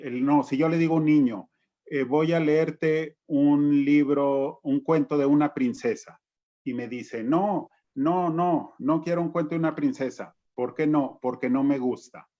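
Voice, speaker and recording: A man with a Mexican accent talks informally as a panelist, in a mid-range voice with a halting delivery. The sound is dull and lo-fi, like a video call. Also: mid-range voice, warm tone. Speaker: panelist on children's reading.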